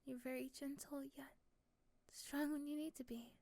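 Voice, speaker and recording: A woman playing a tired, sweet, teasing young woman in love in a soft ASMR romantic roleplay, at times whispering breathily. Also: sits high, fairly even intonation, moderate pace. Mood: tender, drowsy.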